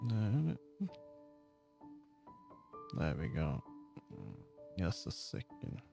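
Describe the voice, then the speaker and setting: A man livestreaming, chatting casually with viewers in a rambling, halting way as he muses aloud. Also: deep voice, sing-song, creaky. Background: background music.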